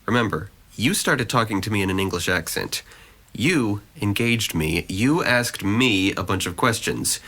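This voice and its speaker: A man's voice: fairly low pitch, lively intonation, unhurried, sharp tone, full character voice. A man acting a guarded, gloomy young man in an audio drama, with a dry, sarcastic, wordy delivery. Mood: teasing, challenging. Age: young adult.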